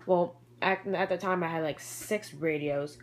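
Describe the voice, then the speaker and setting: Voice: medium pitch, steady tone, unhurried. Someone giving a casual, rambling show-and-tell of a collection, often trailing off and correcting themselves, recorded on homemade camera audio. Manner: hesitant, trailing off and self-correcting. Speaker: YouTube hobbyist presenting a collection. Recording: homemade camcorder audio with noticeable background noise.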